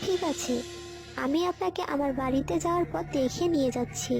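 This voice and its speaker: Woman voicing a high-pitched young witch bride. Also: a little fast, gravelly. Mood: grateful, polite. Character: young witch bride, sweet, grateful and protective. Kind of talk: scripted cartoon dialogue. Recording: loud background music. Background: music.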